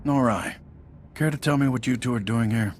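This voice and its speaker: An actor playing a cynical, scheming intelligence agent in a video game, condescending and sardonic. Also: male voice, mid-range voice, normal speed, slight background noise, close to an everyday voice. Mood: defiant, mocking. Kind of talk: video game dialogue acting. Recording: music clearly behind the voice.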